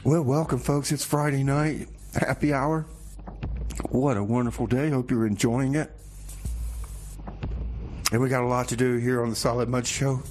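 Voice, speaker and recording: A man's voice: mid-range voice, steady tone, moderate pace, long pauses, a little mellow, slight background noise. A man hosting a casual comedic radio show in a folksy, rambling way, often repeating himself. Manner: folksy, rambling, hesitant repetitions.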